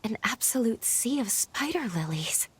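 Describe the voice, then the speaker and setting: An actress playing a resolute, perceptive companion in a dramatic video game, with a husky, hushed and serious delivery. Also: female voice, a little high, sing-song, normal speed, heavily acted. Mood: hushed, wondering. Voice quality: very breathy, husky. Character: resolute, perceptive female companion in post-apocalyptic adventure.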